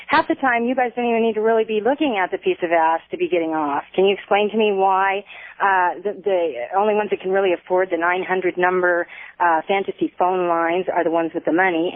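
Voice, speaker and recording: A woman calling a radio show by phone, halting and hesitant yet argumentative. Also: on the low side, dry recording. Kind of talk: conversational phone-in debate. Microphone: telephone. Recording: narrow tinny phone line on radio.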